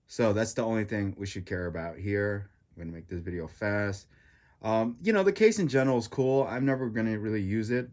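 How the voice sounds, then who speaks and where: A man with a congested, stuffy nose giving a casual, rambling reaction review of a gadget, full of fillers and restarts. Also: lowish voice. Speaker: tech reviewer.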